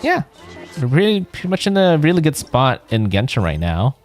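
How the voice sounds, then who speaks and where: A man's voice: fairly high pitch, huge pitch swings, normal speed, a little mellow, soft background music. A man livestreaming a video game, chatting casually and thinking aloud in a rambling way. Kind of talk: casual chat while playing a game.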